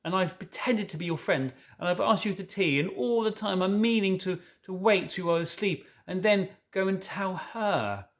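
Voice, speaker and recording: A man's voice: high voice, normal speed, few pauses, pitched above the performer's own voice. A man reading a storybook as an anxious, tearful male fawn who stammers and pleads. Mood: agonised. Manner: stammering, pleading, self-reproaching.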